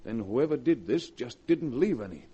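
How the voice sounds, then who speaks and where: An actor playing a determined doctor in a 1940s radio drama, giving urgent commands. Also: male voice, medium pitch, animated, normal speed, warm tone, breathy edge, lo-fi sound. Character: determined male doctor opening a coffin. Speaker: doctor character.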